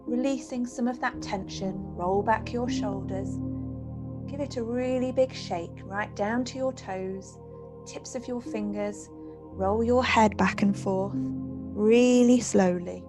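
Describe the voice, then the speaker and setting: A woman leads a guided imagery relaxation for children, speaking gently and soothingly in unhurried phrases with pauses. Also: fairly high pitch. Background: music and rain sounds.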